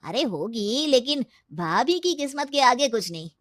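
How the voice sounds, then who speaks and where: A woman voicing a kindly, grateful male family friend, polite and flattering, in an animated story. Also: sits high, unhurried, no room sound, studio quality, heavily acted.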